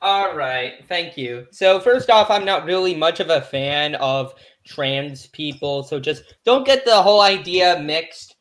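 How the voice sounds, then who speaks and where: A man's voice: a little high, lively intonation, unhurried, few pauses, a bit bright. A man from Texas in casual chat on a live stream call, rambling with lots of fillers. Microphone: video call. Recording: echoey room on a call.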